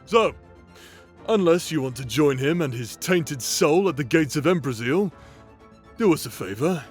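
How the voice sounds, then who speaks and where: A man's voice: fairly high pitch, lively intonation, unhurried. Man acting a cocky, sardonic demonic hunter over music. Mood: brash, commanding. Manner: cocky, foul-mouthed, taunting. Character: swaggering demonic ex-paladin hunter, sardonic and vengeful. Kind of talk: audio roleplay drama acting. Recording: music under the voice.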